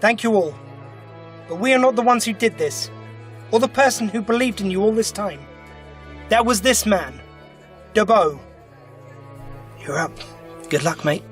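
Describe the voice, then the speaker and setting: A man's voice: sits high, expressive, measured pace, pauses often, fairly bright tone, soft background music. A man playing a kindly, earnest alien time traveller in an audio drama. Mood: rousing. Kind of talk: fan audio drama acting.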